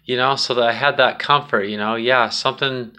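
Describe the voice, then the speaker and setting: Casual vlogger, male, middle-aged, slightly high bright voice, candid storytelling into a handheld phone. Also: steady tone, fairly quick, smooth. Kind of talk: casual personal vlog storytelling. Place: small indoor room at home. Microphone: phone at arm's length.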